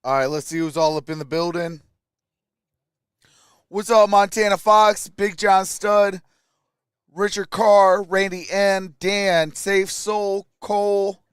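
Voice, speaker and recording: A man's voice: high voice, clean tone, dry recording, studio quality. An American man hosting a sports livestream, chatting casually and informally to his viewers.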